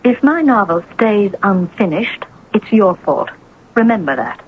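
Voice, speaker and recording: An actress playing a cold, resentful, haughty wife in an old-time radio drama, with a clipped, accusing delivery. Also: female voice, medium pitch, big pitch swings, a little slow. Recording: muffled, noisy old radio broadcast.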